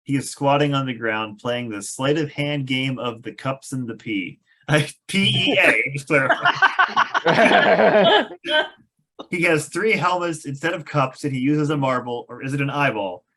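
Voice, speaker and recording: A man's voice: mid-range voice, expressive, unhurried, pushed and bright. A man narrating a table read of a screenplay, reading stage directions with wry, amused asides.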